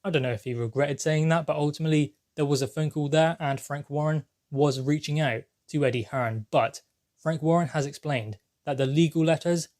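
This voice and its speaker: Male sports vlogger, slightly high voice, emphatic explainer delivering news commentary. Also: fairly quick, darkish tone. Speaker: boxing news channel presenter.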